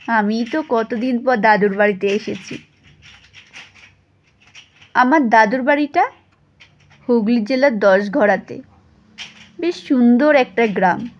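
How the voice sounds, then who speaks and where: A woman's voice: on the high side, dull audio. A woman reading a first-person story as scripted audio narration.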